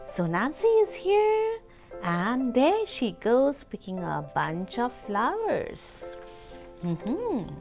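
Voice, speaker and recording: A woman's voice: on the high side, wildly swooping pitch, extremely slow, creaky, darkish tone, soft background music. A woman hosting a children's storytime, reading expressively, asking the listeners questions and explaining words simply. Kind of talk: slow, expressive read-aloud with explanations for children.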